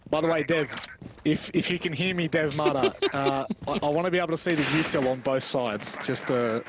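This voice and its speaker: A man's voice: fairly high pitch, a little fast, background noise. A man commentating live on an esports match in a chatty, teasing, jokey way.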